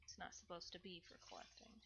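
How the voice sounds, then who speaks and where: A woman chatting casually and thinking aloud as she rambles through household chores. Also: fairly quick. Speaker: streamer hosting a live chores stream. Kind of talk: casual rambling chat while doing chores. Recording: clearly noisy room with household ambience.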